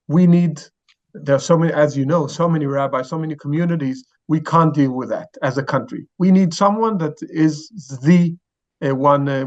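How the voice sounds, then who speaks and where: A man in his forties with a gravelly, creaky voice and an Israeli accent gives explanatory interview answers. He speaks haltingly, searching for words, and the audio is slightly dull. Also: a little high. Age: 40s. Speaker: chief rabbi, interview guest.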